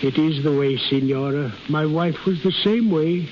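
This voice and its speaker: A man playing a high-voiced, kindly old innkeeper. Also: normal speed, lightly acted. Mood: warm, coaxing. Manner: quaint foreign phrasing, fatherly. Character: kindly fussing old Swiss innkeeper, devoted and blunt. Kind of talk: 1930s radio acting. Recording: muffled, hissy 1930s radio recording.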